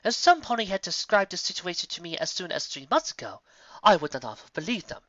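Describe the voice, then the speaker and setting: Regal mare mentor, done by a man, stern, formal, bright and expressive, storybook letter, slightly dull recording. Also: high-pitched, dry recording, voice pushed up. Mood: stern but forgiving. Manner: formal, measured.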